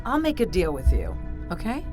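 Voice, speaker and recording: A woman's voice: fairly low pitch, wildly swooping pitch, moderate pace, mellow, faint music behind. An actress playing a sinister, all-knowing woman cab driver in an audio drama, teasing, unruffled and cryptic.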